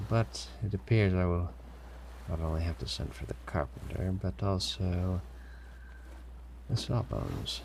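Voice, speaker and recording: A man's voice: sits low, vocal fry, full character voice. A man playing a stern, menacing pirate captain who grudgingly cares, in a commanding audio roleplay with noisy ambience behind him. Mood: suspicious, concerned. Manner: slow, commanding, with sighs and feigned indifference. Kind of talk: audio roleplay acting, addressing a captive listener.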